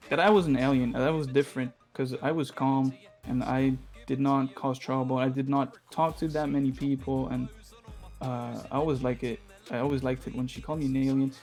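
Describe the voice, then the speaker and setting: Hesitant Spanish-native man, casual chat. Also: mid-range voice. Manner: hesitant, many filler sounds, laughs often. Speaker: podcast guest.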